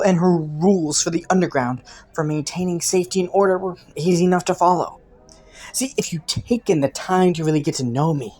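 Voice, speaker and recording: Woman playing a low-voiced, flirty, taunting rogue. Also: a little mellow. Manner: playful, teasing, sardonic, sultry. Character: flirty, taunting, clever underworld rogue teasing a detective. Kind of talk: roleplay audio drama, intimate monologue to the listener.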